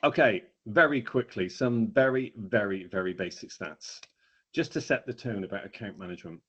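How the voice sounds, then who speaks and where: A man's voice: on the low side, normal speed, fairly bright tone, breathy edge. A man presenting a business training webinar in a conversational, explanatory way, stressing key words as he goes. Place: online webinar. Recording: dull, lo-fi online webinar audio.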